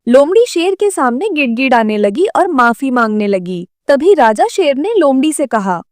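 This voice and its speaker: A woman's voice: sits high, sing-song, moderate pace, darkish tone, no echo, studio quality. A woman narrating a scripted children's cartoon story.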